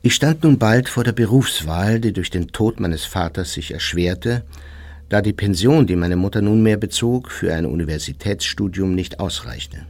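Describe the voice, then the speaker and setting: A man's voice: lowish voice, moderate pace, darkish tone. A man playing an aging former Nazi officer who recounts his youth in a formal, self-justifying memoir narration. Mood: matter-of-fact, formal. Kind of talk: scripted first-person memoir narration.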